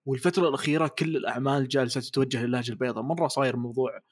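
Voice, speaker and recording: A man's voice: mid-range voice, steady tone, racing. A man from Arabia, hosting a casual podcast chat in a playful, teasing way. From Saudi Arabia. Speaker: podcast host.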